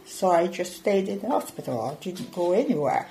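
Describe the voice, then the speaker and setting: An older woman tells her story in a low, reflective voice. She speaks plainly and without fuss, as if thinking back over each memory. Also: sing-song, moderate pace, dark tone, slight background noise. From Jamaica. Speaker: retired nurse interviewee. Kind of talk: recorded oral history interview.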